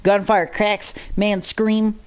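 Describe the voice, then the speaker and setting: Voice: mid-range voice, level tone, takes it slowly, smooth. A narrator reading a scripted action story aloud in a dramatic style.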